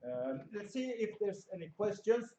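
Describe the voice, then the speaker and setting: A man's voice: high-pitched, very animated, moderate pace, warm tone. Hesitant man. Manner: hesitant, full of fillers and restarts. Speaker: panel host. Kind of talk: casual convention panel chat. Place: convention hall room. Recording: roomy, dull room audio with faint noise.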